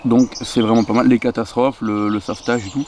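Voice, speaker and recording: A man's voice: medium pitch, little pitch movement, a little fast, a little mellow, slight background noise. Hesitant young man chatting in the woods. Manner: hesitant, many fillers and restarts. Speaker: outdoor channel co-host. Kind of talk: casual chat. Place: woodland, outdoors.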